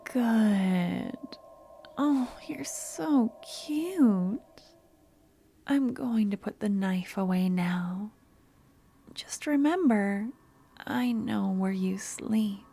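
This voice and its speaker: A woman playing an obsessive young stalker in an ASMR roleplay, sweet yet menacing, speaking softly and often dropping to a whisper. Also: medium pitch, lively intonation, drawn-out words, full character voice. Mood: possessive, adoring.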